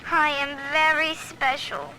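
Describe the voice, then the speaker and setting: A woman's voice: high voice, very animated, slow, sharp tone, slight background noise, heavily acted, poor audio. A woman playing a small, pleading, obedient young girl in a video game. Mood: pleading, meek. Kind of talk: video game character acting.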